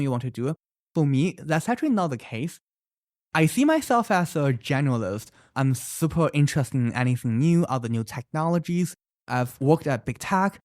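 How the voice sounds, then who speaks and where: Animated founder. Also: mid-range voice, no echo, clean studio sound. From China. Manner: animated, emphatic, often adds "right?"; explains with many examples. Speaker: startup founder. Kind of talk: interview answers.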